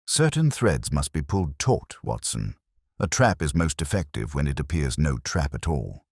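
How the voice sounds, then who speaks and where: A man voicing a commanding, coolly calculating male detective in an audiobook story, speaking with crisp, deliberate authority. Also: a bass voice, big pitch swings, no room sound, studio quality, heavily acted. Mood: calm, calculating.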